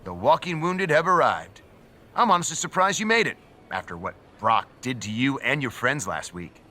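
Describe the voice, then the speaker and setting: A man's voice: mid-range voice, sing-song, normal speed, bright, forceful tone. An actor playing a sneering, agitated male schemer in a wrestling game, theatrical and emphatic. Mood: smug taunting. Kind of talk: scripted wrestling drama cutscene.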